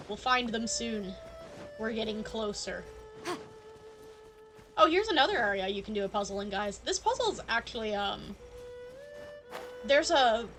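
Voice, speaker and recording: High-voiced woman streaming a game. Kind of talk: casual live game commentary. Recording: game music behind the voice. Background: game music.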